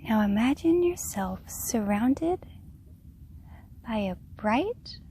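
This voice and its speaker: Slow, soft woman guiding meditation. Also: on the high side, expressive, a little hiss or hum, poor audio. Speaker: meditation guide. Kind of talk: guided meditation, slow soothing narration.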